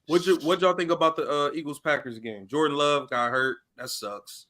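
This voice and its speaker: A man's voice: fairly high pitch, steady tone, normal speed, pushed and bright. An American man co-hosting a casual sports chat, putting questions to the others in loose, slangy speech. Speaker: podcast co-host.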